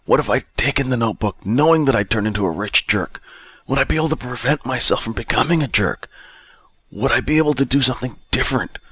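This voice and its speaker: A man acts out a destitute old drunk from an audiobook. The voice rides high and swings around, bitter and exasperated, with an agitated, pleading edge. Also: lively intonation, fast talker, bright, forceful tone, husky, no room sound, exaggerated voice. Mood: anguished. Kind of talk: acted audiobook dialogue.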